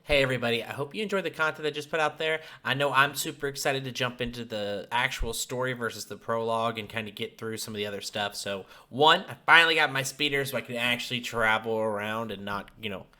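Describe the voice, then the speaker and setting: A man's voice: medium pitch, fast talker, few pauses. A man doing informal, playful gameplay commentary, chatting to himself and his viewers. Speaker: game streamer. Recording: loud background game noise.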